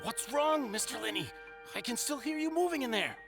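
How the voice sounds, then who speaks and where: A woman's voice: on the high side, expressive, brisk, vocal fry, over music. A woman playing a formal, anxious stage assistant in a video game, polite and concerned. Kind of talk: animated video game acting.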